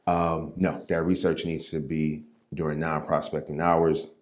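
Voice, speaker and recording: A man from St. Louis, a real estate coach, giving an impromptu talk to camera while standing at his call desk, with a naturally low, somewhat monotone voice and a loose, conversational delivery. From Midwest US, St. Louis. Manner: unhurried, loose and conversational, with repeated words and fillers. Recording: echoey room.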